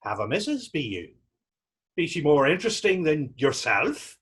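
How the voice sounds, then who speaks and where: Man acting a husky, mocking young Irish vagrant. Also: on the high side, sing-song, moderate pace, a bit bright, exaggerated voice. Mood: sly, sarcastic. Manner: taunting, sarcastic, theatrical dialect speech. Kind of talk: readers theater stage acting.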